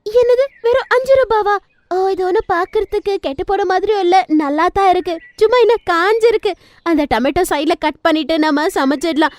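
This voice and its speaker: A woman's voice: expressive, brisk, a little mellow. A woman voicing a stingy, scolding young housewife and mother in a cartoon story, exclaiming and arguing. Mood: surprised, calculating. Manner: shrill, exclaiming, argumentative. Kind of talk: dubbed cartoon moral story.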